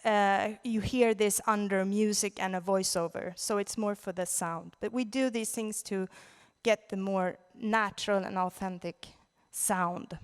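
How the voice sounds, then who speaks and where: A woman with a Swedish accent presenting as a conference speaker, in a halting way, searching for words. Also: mid-range voice, steady tone, clean tone. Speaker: conference speaker, audio drama producer. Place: large indoor conference venue. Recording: reverberant conference hall.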